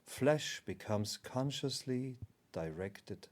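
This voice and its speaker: A man voicing a calm, solemn cosmic guardian spirit, explaining in a formal, measured way as spiritual teaching. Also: medium pitch. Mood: calm, instructive.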